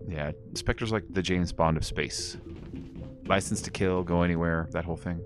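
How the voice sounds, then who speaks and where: Chill young guy commenting on a game, super low voice, kept quiet, faint music under it. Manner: relaxed, deliberately quiet. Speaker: gaming streamer. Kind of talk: casual let's-play commentary.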